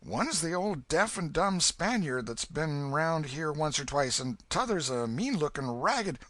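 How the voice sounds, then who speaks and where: Casting: man doing a frightened vagabond boy, high and pleading, with a lively pitch. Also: unhurried, dry recording. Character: scared ragged boy, pleading and jumpy.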